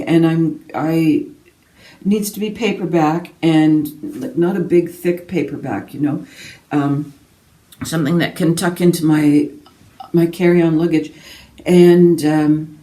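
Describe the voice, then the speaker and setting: Mellow, low-voiced woman in a roomy space. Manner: chatty, self-deprecating, frequent chuckling. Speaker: book vlogger. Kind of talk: casual rambling chat to viewers. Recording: roomy echo, distant microphone.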